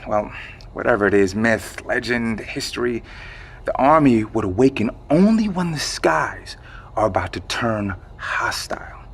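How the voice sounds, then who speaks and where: A man playing a wry, measured storyteller in an audio drama, with a gravelly voice and suspenseful pauses. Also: mid-range voice, expressive, slight background noise. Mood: musing. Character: measured man recounting a secret legend, wry.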